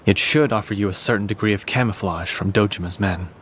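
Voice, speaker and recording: A man's voice: fairly low pitch, fast talker, a bit bright, slight background noise, lightly acted. An actor playing a composed, courteous, secretive man in a video game dub, speaking formally and with measure. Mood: composed, guarded.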